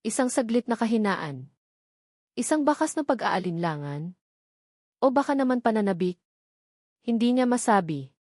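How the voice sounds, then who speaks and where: A woman's voice: a little high, big pitch swings, moderate pace, long pauses, a little mellow, no room sound, studio quality. A woman with a Filipino accent reads a scripted romance story as a dramatic narrator.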